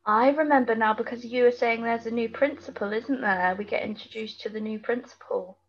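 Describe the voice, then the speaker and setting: A woman's voice: on the high side, fairly quick, highs cut off. A British woman co-hosting a podcast, bantering casually in a chatty, informal and teasing way. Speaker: podcast co-host. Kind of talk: casual banter about a TV episode.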